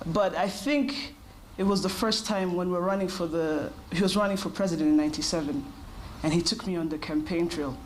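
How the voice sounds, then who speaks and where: Woman, 30s, calm thoughtful interview answers, slight background hiss. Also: lowish voice, low-quality recording. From Kenya. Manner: thoughtful, measured, with short pauses. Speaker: interview guest.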